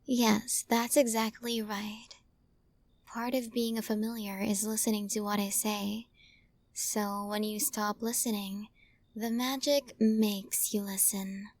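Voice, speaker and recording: A woman's voice: medium pitch, level tone, dry recording. A woman acts out an adoring but controlling witch for an ASMR roleplay. She takes her time, coaxing sweetly with menace underneath and often dropping into a whisper. The sound is intimate, close and very dry. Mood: smug, commanding. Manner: slow, coaxing, hushed, often slipping into whispers. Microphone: close microphone, dry recording.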